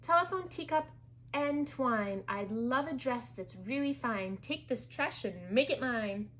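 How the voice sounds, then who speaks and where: Woman as little storybook princess, high voice, excitable, bossy, theatrical, noisy recording. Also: moderate pace. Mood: chanting rhymes. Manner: theatrical, exclamatory.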